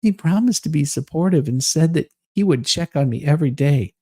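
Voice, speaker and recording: Guy doing a wronged self-righteous woman, husky and mellow, indignant dramatic reading, super dry sound. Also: on the high side, expressive, a little fast, no echo. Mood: aggrieved, recounting. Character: aggrieved, self-righteous woman reading her written account.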